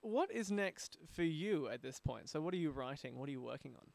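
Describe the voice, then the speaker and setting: A man's voice: on the high side, lively intonation, brisk, gravelly, no room sound. A man hosting a conversational podcast interview. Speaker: interview host.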